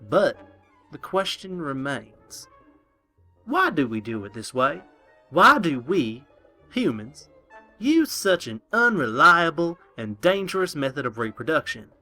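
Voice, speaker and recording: A man's voice: fairly high pitch, big pitch swings, measured pace, sharp tone, soft background music, exaggerated voice. A man playing an eccentric, pompous town scientist giving a mock lecture in a comic audio drama, theatrical and prone to indignation. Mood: pompous lecturing.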